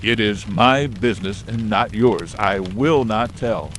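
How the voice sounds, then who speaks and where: A man's voice: on the low side, measured pace, slight background noise, lightly acted. A man voicing a frightened, secretive middle-aged butler who speaks in a deferential, pleading way. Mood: defiant. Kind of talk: audio drama acting.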